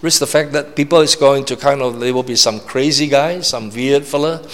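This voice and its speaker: Preacher in a reverberant hall. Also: male voice, medium pitch, normal speed. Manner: emphatic, repetitive, checks in with "all right?". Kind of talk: sermon. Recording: long reverb of a large hall.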